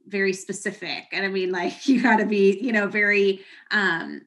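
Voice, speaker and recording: A woman's voice: mid-range voice, fairly even intonation, normal speed, fairly bright tone. A woman pastor hosting a church podcast, introducing and interviewing a guest in a friendly, loosely worded way. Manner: friendly, hedging with you know and fillers. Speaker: executive pastor, podcast host.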